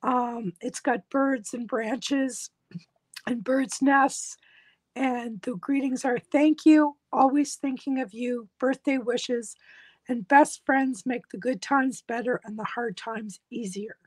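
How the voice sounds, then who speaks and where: A hoarse woman with a croaky voice, walking through a craft tutorial step by step in a reassuring, casual way. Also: on the high side, fairly even intonation, takes it slowly, no echo, low-quality recording. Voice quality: croaky, husky. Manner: reassuring, instructional. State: hoarse, losing her voice. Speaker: craft tutorial host, demonstrator.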